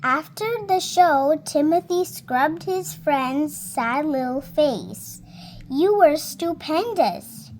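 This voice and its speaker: Little girl, high voice, slow halting storybook reading, noticeable background noise. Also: lively intonation, darkish tone. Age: child, about seven. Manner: halting, careful word-by-word reading. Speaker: young reader.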